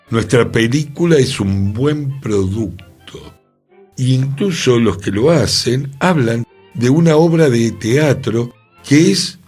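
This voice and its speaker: A man's voice: medium pitch, darkish tone, breathy edge. A man with a Rioplatense Spanish accent, musing aloud on a radio talk show in a thoughtful, questioning way. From Argentina. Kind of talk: reflective radio conversation. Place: radio studio.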